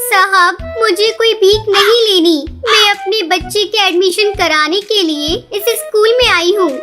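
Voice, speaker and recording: Woman voicing a very high-pitched earnest mother bird. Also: measured pace, over music. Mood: pleading. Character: devoted poor mother bird, a beggar, pleading and tender. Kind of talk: Hindi children's cartoon voice acting.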